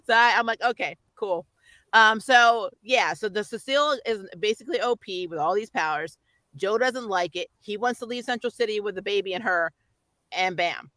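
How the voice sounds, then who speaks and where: Bright-voiced, emphatic woman. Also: medium pitch, moderate pace, dry recording, poor audio. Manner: exasperated, emphatic ranting, laughs often. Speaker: host of a pop culture review show. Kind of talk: casual, opinionated TV recap chat with a co-host.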